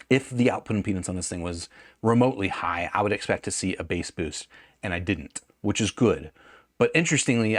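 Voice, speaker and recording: A man's voice: lowish voice, dry recording, studio quality. A man hosting an audio gear review in a casual, unscripted, conversational way, often hedging and correcting himself mid-sentence.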